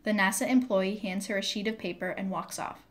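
Female narrator, middle pitch, scripted stage directions, audio drama. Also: fairly even intonation, a little fast, slight background noise.

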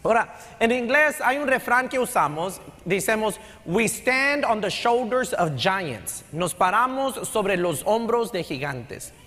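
A man's voice: sits high, very animated, slow, pushed and bright. A middle-aged man from Texas, a pastor preaching a conversational sermon with a Texan accent, mixing in English phrases. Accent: Texan Spanish with English code-switching. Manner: conversational preaching, switching between Spanish and English. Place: large church sanctuary stage. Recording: long hall reverb in sanctuary.

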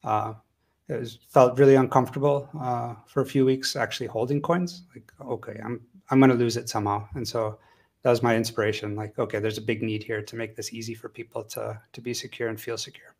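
A relaxed man talks as a panelist over a video call, his pitch sitting in the middle range. He is chatty and laughs easily, filling his pauses with plenty of um and uh. The sound is that of a call mic in a room at home. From United States. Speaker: panelist, hardware wallet maker. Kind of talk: casual panel discussion.

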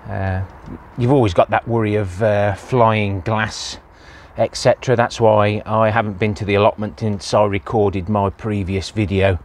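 A man's voice: on the low side, little pitch movement, moderate pace, few pauses, a little hiss or hum. A man talking casually through an allotment vlog outdoors, with plenty of "uh" fillers. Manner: plain-spoken, many "uh" fillers. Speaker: allotment gardening vlogger. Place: outdoor allotment, in and around a greenhouse.

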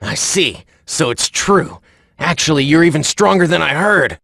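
Young swordsman hero, anime game. Gravelly, slightly high, polite, serious and resolute. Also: very animated, normal speed, sharp tone, a little hiss or hum, heavily acted. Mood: earnest, resolute. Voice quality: raspy. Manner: earnest, courteous. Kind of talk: English anime game voice acting.

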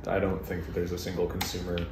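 A man's voice: deep voice, completely flat delivery, quick pace, echoey room, noisy background. A man in his thirties casually showing off video gear in a living room, joking and laughing at himself, recorded on a lapel mic. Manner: jokey, self-mocking, laughs often. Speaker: vlog host. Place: wood-paneled living room indoors. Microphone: clip-on lapel mic.

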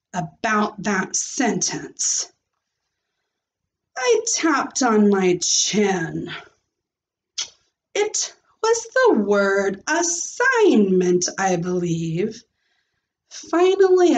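A woman in her thirties, a teacher reading a storybook aloud with theatrical, deliberate expression, recorded in a small room at home. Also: medium pitch.

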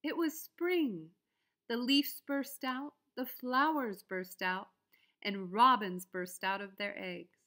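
Woman children's story reader: higher register, slow, deliberate, expressive sing-song.

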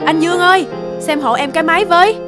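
An actress playing a mild, concerned young working woman in an animated film, speaking politely. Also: extremely high pitch, fast, over music. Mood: polite, worried. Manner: polite, asking.

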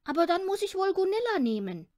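A woman voicing an eager, stubborn little boy in a storybook, emphatic and excitable. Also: extremely high pitch, brisk, pitched above the performer's own voice. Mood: earnest.

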